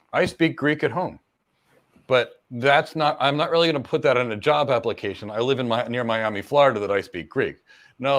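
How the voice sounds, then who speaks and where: Middle-aged man, cloud career coach, confident, casual live Q&A, rambling asides, mid-pitched voice. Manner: confident, talks in long runs with repeated words and quick asides. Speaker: cloud career coach answering viewer questions. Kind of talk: live Q&A, casual explanatory talk.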